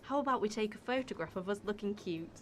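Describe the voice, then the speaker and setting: An actress playing a cheeky, quick-witted thief with a sugary act in a playful radio drama. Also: female voice, mid-range voice, lively intonation, natural voice. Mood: teasing, sugary. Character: cheeky, quick-witted female thief posing as sweet fiancée.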